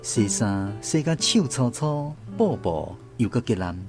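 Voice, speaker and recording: A grown child recalling family life in a radio drama. His Taiwanese-accented narration is tender and very expressive in pitch, with soft guitar music underneath. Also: unhurried. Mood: tender admiration. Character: grown child fondly remembering a hardworking mother. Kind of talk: scripted literary radio narration.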